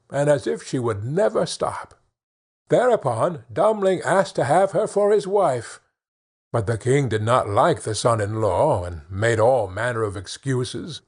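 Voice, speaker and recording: A man narrating a children's fairy tale in an expressive storytelling manner, with measured phrasing. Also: mid-range voice, breathy edge. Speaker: audiobook narrator.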